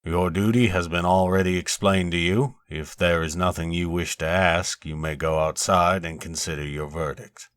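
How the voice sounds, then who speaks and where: A man voicing a grave, tranquil coroner in a story reading, speaking in a solemn, formal, measured way. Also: sits low, fairly even intonation, a bit bright, smooth, dry recording, clean studio sound.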